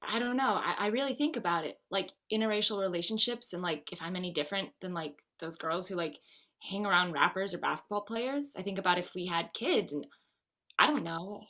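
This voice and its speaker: A woman's voice: on the low side, a little fast, pushed and bright. A woman playing a tipsy, playful, needy bride who rambles, in a naturalistic comedy table read. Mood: uneasy, confiding. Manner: rambling, with frequent "like".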